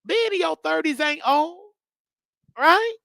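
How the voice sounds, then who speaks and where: Man, 30s, livestream host, high bright voice, loud emphatic repeats, dry close mic. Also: expressive, slow, creaky, no echo. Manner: loud, repetitive, emphatic rants with sudden shouts. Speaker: show host. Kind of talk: casual livestream talk.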